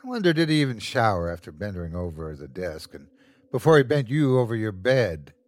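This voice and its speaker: A man's voice: lowish voice, big pitch swings, darkish tone. A man voicing a sadistic, taunting creature in a horror story, savoring every cruel word in a mocking, gloating way. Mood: cruel mockery. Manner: gloating, drawn-out mocking delivery. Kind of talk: horror audiobook character voice.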